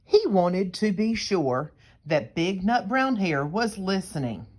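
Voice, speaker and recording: A woman's voice: mid-range voice, big pitch swings, takes it slowly, darkish tone. A woman teacher reading a picture book aloud to young children, explaining things in simple terms.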